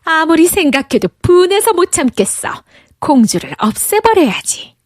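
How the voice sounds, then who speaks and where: A woman's voice: very high-pitched, very animated, moderate pace, dry recording, clean studio sound, voice pushed up. A woman voicing a vain, cruel witch queen in a storybook, with an imperious, scheming manner. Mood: outraged.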